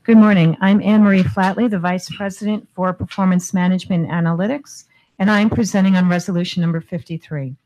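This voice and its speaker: A woman executive presenting a resolution at a board meeting, losing her voice and clearing her throat as she reads formal text. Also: lowish voice, fairly even intonation, fairly quick, dark tone, rough recording.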